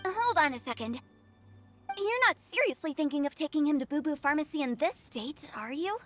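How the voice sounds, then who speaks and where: A woman's voice: high voice, lively intonation, normal speed, a bit bright. A woman playing a sly, playful teenage funeral director in a video game, with theatrical, probing delivery. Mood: suspicious. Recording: music behind the voice.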